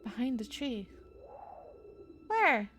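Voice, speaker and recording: A woman's voice: on the high side, sing-song, slow pace, a bit bright. A woman game streamer reading a horror visual novel aloud, dramatically, with spontaneous asides. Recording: music behind the voice. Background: game music.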